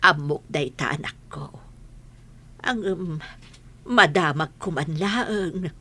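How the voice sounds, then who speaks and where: A woman's voice: low voice, takes it slowly, bright, forceful tone, full character voice. A woman playing a patient, protective village mother, consoling yet firm, in a radio drama with an Ilocano accent. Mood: gentle, worried.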